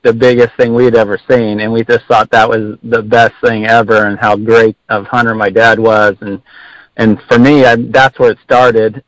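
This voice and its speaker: A man's voice: mid-range voice, no room sound. A man chatting casually as an interview guest, heard over a dull, lo-fi remote line. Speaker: hunting guide, podcast guest.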